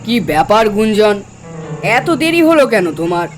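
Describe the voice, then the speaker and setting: A man playing a kindly, weary uncle in a cartoon drama, speaking in a gentle, placating way. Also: high voice, big pitch swings, a little slow, soft background music. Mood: concerned.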